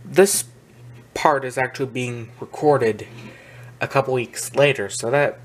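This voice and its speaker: A man's voice: medium pitch, steady tone, measured pace. A man narrating a casual, unscripted show-and-tell as a video host, speaking hesitantly with trailing asides. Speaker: video host, hobby collector. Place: small indoor room with wooden shelves. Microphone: handheld camera's built-in mic, behind the camera. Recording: noisy handheld camera audio, faint room noise.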